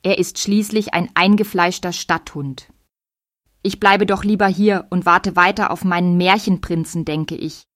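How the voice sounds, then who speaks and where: A woman's voice: lowish voice, fairly even intonation, measured pace, a bit bright, no room sound. A woman playing a lonely, romantic city dweller in a radio play, musing hesitantly to herself. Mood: wistful musing. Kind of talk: radio play inner monologue.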